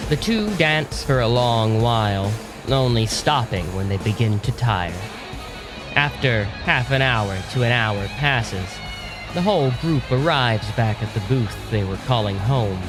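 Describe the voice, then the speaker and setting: A man's voice: medium pitch, lively intonation, a little slow, low-quality recording. A man narrating an audio drama in a scripted storytelling style. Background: music.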